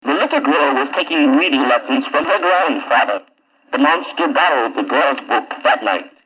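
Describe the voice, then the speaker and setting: A male narrator tells a dramatic children's story in a sharp, forceful voice. His pitch moves in lively swings. The recording has the dulled, lo-fi sound of a 1970s record. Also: normal speed, slightly rough.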